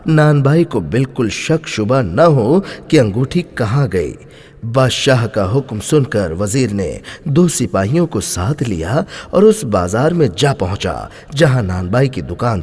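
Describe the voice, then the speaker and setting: A man narrating a scripted moral story in a dramatic, expressive way. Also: mid-range voice, breathy edge. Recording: long hall-like reverb.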